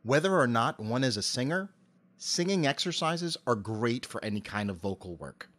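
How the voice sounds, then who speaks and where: A middle-aged man giving articulate, measured explanations as a voice actor being interviewed. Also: mid-range voice, very animated, fairly bright tone.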